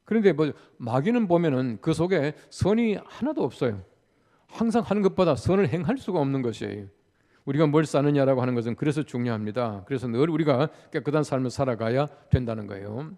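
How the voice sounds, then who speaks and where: A man's voice: mid-range voice, animated, brisk, mellow. An older man preaching a dawn sermon in a conversational way, with stories and rhetorical questions, in a large church sanctuary. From Gyeongsang region of Korea. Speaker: pastor. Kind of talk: sermon at a dawn prayer service. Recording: long church hall reverb.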